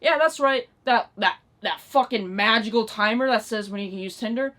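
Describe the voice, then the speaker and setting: Girl around 19 doing a sweary unscripted rant, kinda high voice, fed up and emphatic. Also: moderate pace, fairly bright tone. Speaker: online video creator. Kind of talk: ranting vlog, casual unscripted talk.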